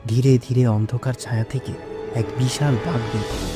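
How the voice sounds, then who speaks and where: Guy narrating a scary story, scripted, mid pitched voice, music clearly behind him. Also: fairly even intonation, fairly quick.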